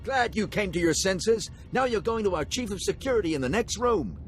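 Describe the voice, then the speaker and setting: A man's voice: high-pitched, animated, a little fast, soft background music. A man voicing an officious guard captain in a video game. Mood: officious bluster. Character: officious male guard captain, secretly deferential.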